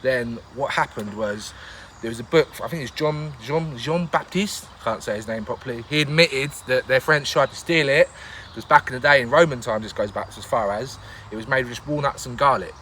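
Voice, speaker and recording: A man's voice: mid-range voice, brisk, bright, forceful tone, slight background noise. A man hosting a casual outdoor cooking show in the woods, talking through each step with plenty of jokes and laughter. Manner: chatty, jokey, laughs often.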